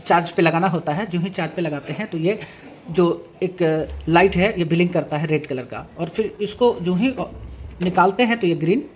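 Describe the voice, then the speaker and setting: A man presenting a hands-on tech tutorial, explaining step by step in a halting, repetitive way. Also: sits high. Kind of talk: hands-on product demonstration. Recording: clearly noisy room recording with ambience.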